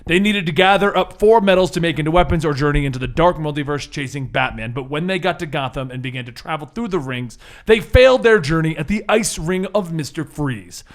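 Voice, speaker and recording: A man's voice: on the high side, expressive, brisk, hardly any pauses. A man working as game master, hosting a tabletop superhero game, explaining rules and narrating scenes.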